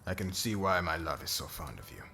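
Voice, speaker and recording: A man playing a formal, earnest royal diplomat in an ASMR roleplay, speaking in a measured, diplomatic way. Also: deep voice, very animated, creaky, bright, forceful tone, a little hiss or hum, exaggerated voice. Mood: earnest persuasion.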